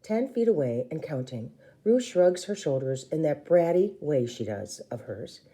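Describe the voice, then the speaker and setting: A woman reading a children's book aloud in an expressive, theatrical way, acting out the dialogue. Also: low-pitched, measured pace. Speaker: storybook reader.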